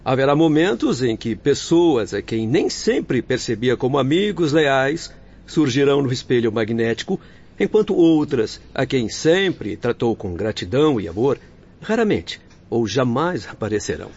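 An actor playing a kindly, patient spirit-world doctor in a Brazilian radio drama, speaking in a measured, formal, reassuring way. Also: male voice, mid-range voice, sing-song, a little mellow, breathy edge. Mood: gentle, explanatory. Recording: dull lo-fi radio drama audio with music.

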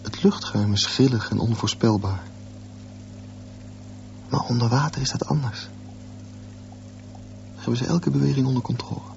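A man's voice: mid-range voice, vocal fry. Dreamy teenage boy, dull noisy recording. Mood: dreamy wonder. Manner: slow, wondering, musing. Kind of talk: radio drama acting, reflective monologue.

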